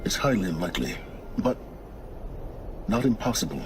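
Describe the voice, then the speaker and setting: An actor playing a secretive middle-aged scholar in a video game cutscene, speaking in a measured, condescending and impatient way. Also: male voice, sits low, very animated, music in the background. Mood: explanatory, dry. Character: secretive, condescending older male lone scholar and wanderer.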